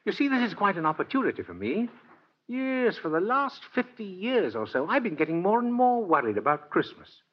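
Husky, kindly old Santa Claus. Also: male voice, high-pitched, sing-song, fairly quick. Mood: earnest, gentle. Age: older. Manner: gentle, earnest, twinkling. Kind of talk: 1940s radio acting. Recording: muffled vintage 1940s radio recording.

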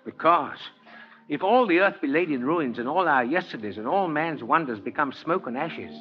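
A man's voice: a little high, animated, moderate pace, lightly acted. An older man playing a kindly, earnest old Santa Claus in a 1940s radio drama, with genteel, heartfelt oratory. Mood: earnest, moved. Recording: dull vintage 1949 radio recording, music behind.

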